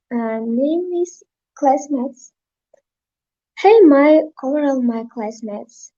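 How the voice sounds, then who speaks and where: A woman from Uzbekistan reciting her poem slowly and haltingly as a guest poet. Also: high-pitched, dark tone. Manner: slow, halting reading. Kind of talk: poetry recitation. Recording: dull lo-fi online call audio.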